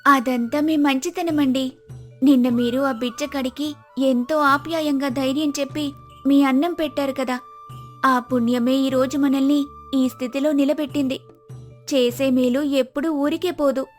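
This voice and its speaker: A woman voicing a devoted, gentle but determined village wife in a moral story, with an earnest, pleading manner. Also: high voice, fairly even intonation, moderate pace, faint music behind, natural voice. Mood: humble, warm. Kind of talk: acted moral-story dialogue.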